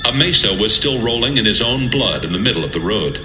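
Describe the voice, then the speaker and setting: Male narrator, measured dramatic scripture storytelling, lively intonation, reverberant over a clear music bed. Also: lowish voice. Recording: echoey, music bed behind.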